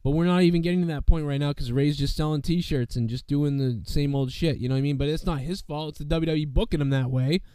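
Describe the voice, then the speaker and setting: A man's voice: on the high side, fast, few pauses, mellow, clear, resonant tone, no echo. Male wrestling-show host, under the weather, loose and profane, keeps saying "you know what I mean", dry close sound. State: sick, losing his voice. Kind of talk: casual profane show commentary.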